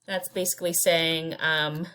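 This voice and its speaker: A woman's voice: low-pitched, little pitch movement, unhurried, sharp tone, clean tone. A woman teaches from her slides. She keeps it conversational and unpolished, with fillers and restarts between her points. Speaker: instructor. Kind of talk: recorded slide lecture.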